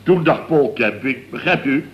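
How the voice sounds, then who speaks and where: A man's voice: medium pitch, lively intonation, normal speed, dull audio, a little hiss or hum, lightly acted. A man playing a flustered, indignant contractor boss in a radio comedy, blustering, sputtering and repeating himself. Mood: outraged.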